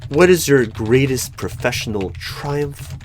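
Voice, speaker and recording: A man playing a creepy, skeptical hiring manager in a one-sided job interview roleplay, speaking in a deliberate, probing way. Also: medium pitch, lively intonation. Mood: measured probing. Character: creepy, skeptical male hiring manager of a mysterious secret organization. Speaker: roleplay performer.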